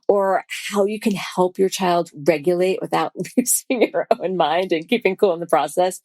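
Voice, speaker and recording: A woman's voice: sits low, darkish tone, no room sound. A woman podcast host reading a scripted, enthusiastic intro and show promos into a close studio mic. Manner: upbeat, enthusiastic, self-described science geek.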